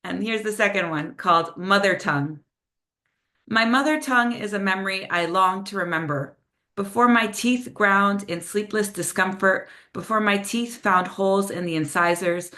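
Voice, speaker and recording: A woman with a slightly low voice, whose first language is Spanish, reads her poems over a video call. Between poems she talks loosely and hesitantly, full of "um" and "like." In the poems she slows down and drops in Spanish words. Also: pushed and bright. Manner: slower, measured delivery with Spanish phrases when reading poems. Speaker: poet reading her own work. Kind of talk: poetry reading with casual spoken introductions.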